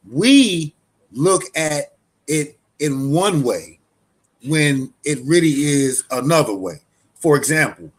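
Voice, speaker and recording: A man giving loose, conversational commentary, full of restarts and fillers, as a show host. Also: a little high. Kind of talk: casual spoken commentary.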